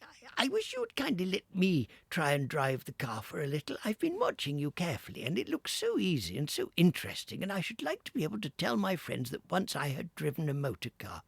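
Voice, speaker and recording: A man voicing a vain, reckless male toad who crows and brags in a high voice. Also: wildly swooping pitch, fairly quick, vocal fry, breathy edge, no echo, exaggerated voice. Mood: pleading. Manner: high, crowing, show-off.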